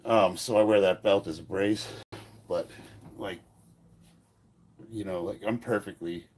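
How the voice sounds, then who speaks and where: A man's voice: deep voice, fairly even intonation, measured pace, a little mellow, a little hiss or hum. A man in his fifties who is stuffed up and short of breath, talking loosely and rambling as he coaches a training session. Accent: American. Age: 50s. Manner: loose and rambling, trailing off mid-sentence. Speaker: powerlifting coach. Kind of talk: casual training vlog.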